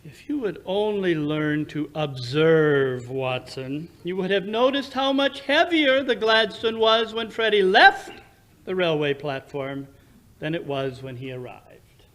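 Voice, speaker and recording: A man playing a superior, impatient gentleman detective in a radio drama, speaking precisely and with condescension. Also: on the high side, lively intonation, unhurried. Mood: lecturing, superior. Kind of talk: 1940s radio drama acting.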